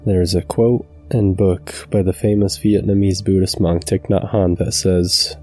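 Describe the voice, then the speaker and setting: A man guiding a meditation from a script in a calm, measured, soothing way. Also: sits low, faint music behind. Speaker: meditation guide, channel host. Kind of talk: scripted guided meditation narration.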